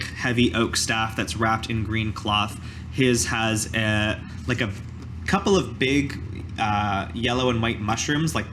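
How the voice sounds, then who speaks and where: Game master, male, mid-range voice, halting storytelling delivery, slight background noise. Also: medium pitch, fairly bright tone. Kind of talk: narrating a tabletop roleplaying game.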